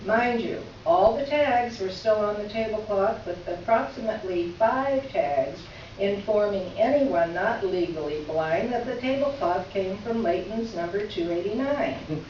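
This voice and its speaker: A woman's voice: mid-range voice, animated, measured pace, lightly acted. A woman performing a readers theater piece as an exasperated, sarcastic writer reading out her complaint letter with emphatic delivery. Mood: indignant. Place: reverberant room, recorded from a distance. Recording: echoey room, distant mic, muffled, faint noise.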